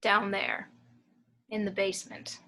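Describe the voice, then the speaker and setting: A woman playing a haunted storyteller, grave and hushed, recalling a sinister childhood in a table read. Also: medium pitch, level tone, measured pace. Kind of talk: dramatic monologue in amateur table read.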